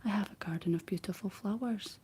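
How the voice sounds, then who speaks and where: A woman whispering the lines of a kindly farm wife, pitched a little higher and pleading. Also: fairly quick, warm tone, slightly rough. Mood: eager.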